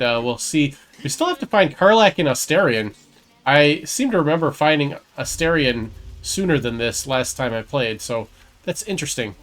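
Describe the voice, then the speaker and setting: A man's voice: mid-range voice, a bit bright. A man giving casual commentary on a video game he is playing, sometimes reading game text aloud.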